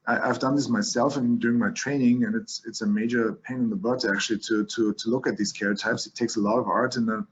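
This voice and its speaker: German-accented male physician lecturing, mid-pitched, restarts a lot, slightly muffled lo-fi audio. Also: steady tone, fast talker, hardly any pauses, slight background noise. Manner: explanatory, frequent restarts and fillers. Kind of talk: medical lecture over slides.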